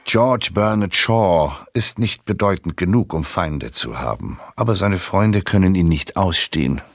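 Low-voiced man reciting scathing insults. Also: expressive, measured pace, heavily acted. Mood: dry scorn. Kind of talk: scripted literary recitation, quote then author's name.

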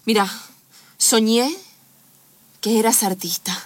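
A woman's voice: on the high side, slow. A woman with an Argentine accent playing an earnest, insistent young woman calling her close friend in an improvised radio drama, speaking emphatically and repeating herself. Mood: earnest, urging.

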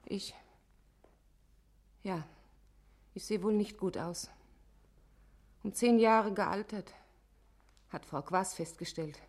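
An actress in a German radio play playing an innkeeper's careworn, guilt-ridden wife in a subdued, weary manner. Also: female voice, medium pitch, slow, long pauses, darkish tone. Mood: weary, sad.

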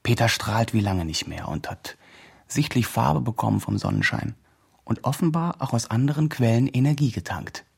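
Earnest online charity promoter, radio drama. Lively swings in pitch, slightly rough voice, emphatic and self-justifying. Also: male voice, moderate pace. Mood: proud, narrating. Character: earnest male promoter presenting a homeless man's online campaign. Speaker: presenter of an online fundraising campaign. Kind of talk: scripted radio drama, mock video-blog narration.

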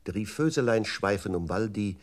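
Casting: male actor as computer poet, fairly low voice, careful diction, formal recital in 1960s radio drama. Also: animated, moderate pace, lightly acted. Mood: formal, poetic. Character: computer memory voice reciting punning verse.

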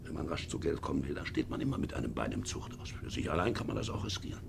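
An actor playing a shady, callous middle-aged sea captain in a 1950s radio drama, halting and often muttering. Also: male voice, extremely low pitch, big pitch swings, gravelly, exaggerated voice. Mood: brooding, resolute. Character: shady, brooding middle-aged male ship's captain, callous and self-justifying. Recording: hissy 1950s radio recording.

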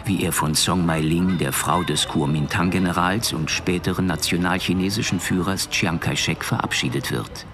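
A man playing a composed narrator, telling the story in a measured, factual documentary style for an audio drama. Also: sits low.

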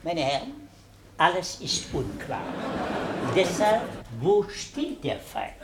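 A man's voice: sits high, wildly swooping pitch, slow, full character voice. An actor playing a pompous, muddled male army general in a radio play, speaking in stiff bureaucratic phrases. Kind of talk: 1970s radio play acting. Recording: echoey, noisy old radio recording.

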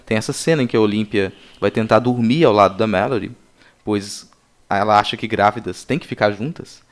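A Brazilian man on a podcast panel talking casually and analytically about film, in halting explanations. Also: fairly low pitch, smooth.

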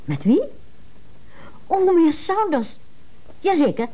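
An actress playing a brisk, polite female secretary in a 1970s radio comedy. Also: very high-pitched, sing-song, muffled recording, full character voice.

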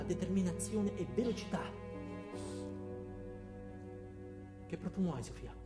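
An actor playing an ordinary man turned bitter and cruel, delivering a deliberate, theatrical first-person monologue for a radio drama. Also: sits high, close to an everyday voice. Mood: tense, spiteful. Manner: theatrical, deliberate, swinging from loud to hushed.

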